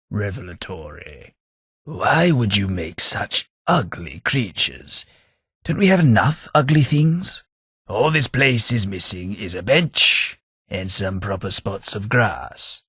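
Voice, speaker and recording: A prim, sardonic companion. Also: male voice, fairly low pitch, a bit bright, breathy edge, dry recording, full character voice. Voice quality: dry, sardonic delivery. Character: prim, snobbish British male companion, dry wit. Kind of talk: video game companion lines.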